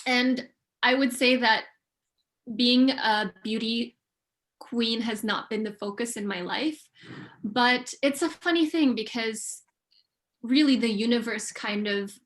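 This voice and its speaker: A young woman from the Philippines, a pageant contestant answering interview questions over a video call in an articulate, measured way, thinking aloud with small fillers. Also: on the high side, fairly bright tone. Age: young adult.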